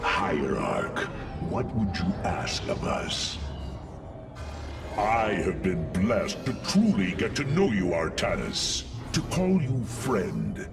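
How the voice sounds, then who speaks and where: A man's voice: low voice, gravelly, distant mic, heavily acted. A man playing an honorable, loyal alien machine warrior in a video game, formal and earnest. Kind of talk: dramatic video game voice acting.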